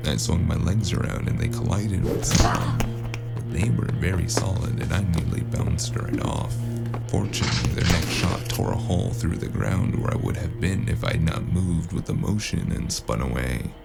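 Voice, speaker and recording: A man narrating a noir audio drama as the lead, dry and wry, with a deadpan manner, over a music score. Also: deep voice, moderate pace, gravelly. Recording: music score playing underneath.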